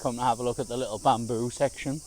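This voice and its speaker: Male vlogger, slightly hoarse, casual and slangy, on a handheld camera outdoors with faint insect ambience behind him. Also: mid-range voice, fairly even intonation, fast talker, a little mellow, a little hiss or hum. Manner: chatty, slangy, easygoing. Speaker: travel vlogger. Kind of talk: casual walking vlog narration. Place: outdoors in large city gardens, walking in heat.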